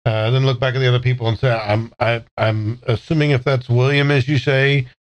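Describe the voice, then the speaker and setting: A man's voice: mid-range voice, fairly even intonation, a little fast. A man role-playing casually in a tabletop game, in a hesitant, questioning way. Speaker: player in a tabletop game podcast.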